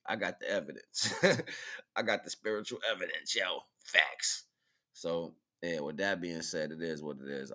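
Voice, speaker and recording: A man's voice: sits low, fairly bright tone. A man hosting a livestream in casual, slangy talk, often checking in with the listener using tag questions. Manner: informal slangy speech with frequent tag questions. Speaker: livestream host.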